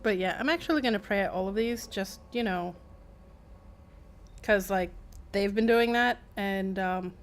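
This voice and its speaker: A woman's voice: medium pitch, a little slow, pauses often. A woman streamer giving casual live game commentary in a wry, rambling, chatty way.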